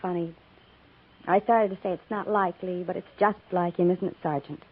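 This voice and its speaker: A woman playing a gentle, gracious frontier woman with an American accent, speaking earnestly in 1950s radio drama style. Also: on the low side, fairly even intonation, moderate pace, natural voice. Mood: worried, gracious. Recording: tinny, hissy 1950s radio recording.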